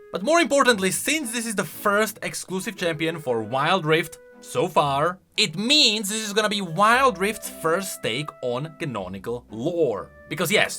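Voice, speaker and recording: A man's voice: a little high, pushed and bright. A man hosting casual video game commentary, emphatic and thinking aloud as he goes. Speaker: video creator and host.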